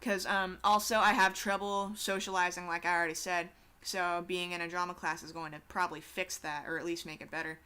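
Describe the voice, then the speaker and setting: Teen girl rambling on while drawing at her desk, bright clear voice, swears a lot, pokes fun at herself. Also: fairly low pitch, little pitch movement, brisk, hardly any pauses. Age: teens. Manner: chatty, swears casually, self-deprecating asides. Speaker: artist streaming a drawing session.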